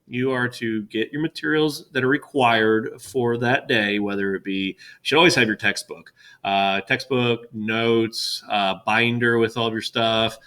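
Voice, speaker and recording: A middle-aged male teacher with a loud, boisterous classroom voice, talking casually and rambling with fillers, recorded on a webcam in an empty classroom. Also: medium pitch. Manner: rambling, chatty with many fillers. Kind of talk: casual classroom welcome talk.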